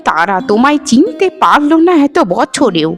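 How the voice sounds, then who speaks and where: A woman voicing an elderly, sentimental village mother in a cartoon, talking in an emotional, drawn-out way. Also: a little high, very animated, over music. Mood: tender, reflective. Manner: emotional, drawn-out address, repeats phrases. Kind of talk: Bengali cartoon voice acting.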